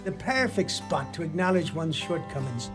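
A man's voice: on the high side, expressive, moderate pace, music in the background, lightly acted. A male actor gives us a fire-and-brimstone Irish village priest for a radio play. He is stern and pompous, and he preaches in ornate, ominous phrases with an Irish accent. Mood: pious, reproving.

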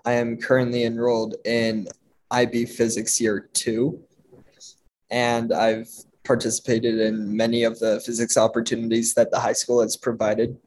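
A teenage high school senior who introduces himself in a formal, recited way. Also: male voice, completely flat delivery, moderate pace, a little mellow, low-quality recording. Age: teens. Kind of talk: radio interview.

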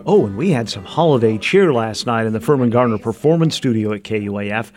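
A man's voice: mid-range voice, animated, fast talker, a little mellow, soft background music. A man hosting a public radio magazine show, speaking close to a studio mic.

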